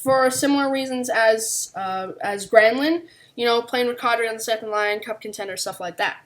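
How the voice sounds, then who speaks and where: A woman's voice: a little high, moderate pace. A woman co-hosting a podcast, talking through unscripted sports analysis. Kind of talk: unscripted hockey analysis discussion.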